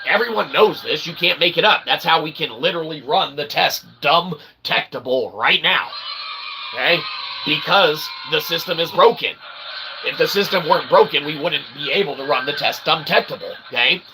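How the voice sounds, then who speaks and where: Man, gravelly voice, rambling monologue, sudden shouted outbursts, keeps saying "okay?", noisy recording. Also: fairly high pitch, animated, moderate pace. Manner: jumps between mutters and sudden loud outbursts; peppers talk with "okay?" and "literally". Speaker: self-published author and channel host.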